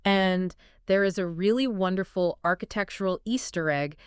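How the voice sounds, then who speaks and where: Female podcast co-host, smooth and articulate, close dry sound. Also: mid-range voice, normal speed, dry recording. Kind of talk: scripted-sounding conversational podcast explainer.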